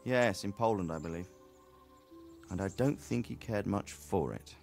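An actor playing a middle-aged medieval knight talking to his son in a video game, measured and reflective. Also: lowish voice, creaky. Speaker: knight lord, father of the hero. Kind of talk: video game cutscene dialogue. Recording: soft game music behind.

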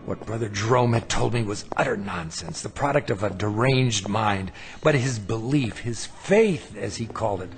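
A man's voice: lowish voice, noisy background, close to an everyday voice. An actor playing an earnest, uneasy, skeptical man in a radio drama, in dialogue and first-person narration. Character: uneasy, skeptical male traveler telling his eerie tale. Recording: music bed behind the voice.

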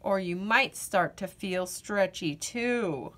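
A woman reading a children's picture book aloud, playful and expressive, acting out the yawns as she goes. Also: mid-range voice, slow.

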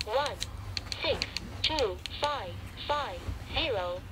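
Robot lady radio prompt saying digits really slowly, kinda high, noisy w clicks. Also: animated. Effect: small radio speaker. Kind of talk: automated digit readout. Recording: noisy handheld radio speaker. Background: noise and clicks.

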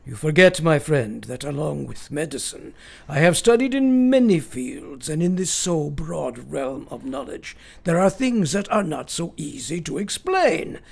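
An eccentric older professor in a radio play, grave and formal. His voice sits fairly high for a man and swings widely, with a gravelly creak. The phrasing is quaint and solemn. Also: very animated, unhurried, hardly any pauses, exaggerated voice. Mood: ominous, intense. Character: eccentric, grave, older male foreign professor and vampire hunter. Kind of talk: radio drama acting.

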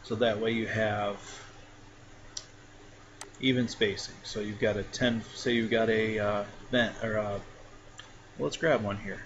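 A man casually talking through a software tutorial step by step, with frequent fillers. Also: fairly low pitch, a little slow, long pauses. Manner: relaxed, talks through each step with fillers. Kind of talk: casual screen-recorded tutorial. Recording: dull lo-fi mic with clear background noise.

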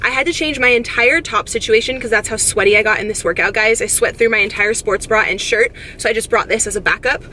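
A young American woman vlogs in a casual, chatty way. Her voice sits a little high and she talks quickly, with effusive, slangy phrasing. There is some faint noise behind her. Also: sharp tone. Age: young adult. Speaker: vlogger. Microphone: camera at arm's length.

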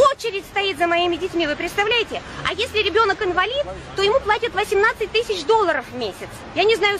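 A woman in her forties, born in Ukraine and raised in Russia, giving an urgent, insistent speech at a street picket and often pausing on drawn-out "uh" fillers. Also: very high voice, a bit bright. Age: 40s. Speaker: protester at a picket. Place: outdoor street picket beside traffic.

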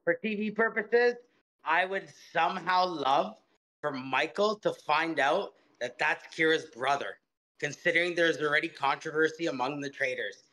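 A man guest on a casual video call, giving measured opinions and advice. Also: fairly high pitch, pushed and bright, rough recording. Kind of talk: casual chat. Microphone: webcam on video call.

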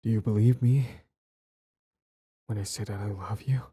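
Man acting out a flustered lovestruck boyfriend type, very dark tone. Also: fairly low pitch, fairly even intonation, moderate pace, slightly rough. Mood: tender, breathless. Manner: stammering, sighing, hesitant. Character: lovestruck, clingy young male bully, flustered and pleading. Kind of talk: intimate romantic roleplay audio.

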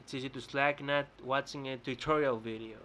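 A young man with a Greek accent rants at his webcam in a bright room, rambling and often restarting his words. His voice is bright and forward, at a middle pitch, with a faint hiss of noise behind it. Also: fairly even intonation, a little fast. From Greece. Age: young adult. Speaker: vlogger. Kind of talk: casual rant to camera. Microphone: webcam close up.

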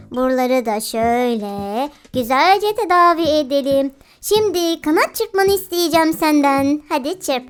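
A woman's voice: sits high, a bit bright. A woman doing playful game commentary for children, cooing and exclaiming as she talks to animals and young viewers, often giggly. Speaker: children's game video host. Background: background music.

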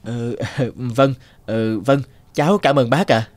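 A man voicing an earnest young man in an animated moral tale, polite and hesitant in manner. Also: mid-range voice, takes it slowly, slight background noise, natural voice. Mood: anxious. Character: earnest young man, hesitant heir hiding his wealth.

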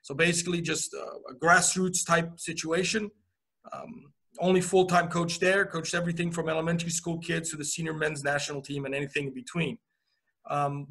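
A man in his forties who grew up abroad, talking through his coaching career over a video call. His voice sits a little high and sounds bright and forward. He rambles easily, with plenty of fillers. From Romania. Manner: relaxed storytelling with many uh fillers. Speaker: college women's soccer coach. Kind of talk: video call interview answers. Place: indoor office.

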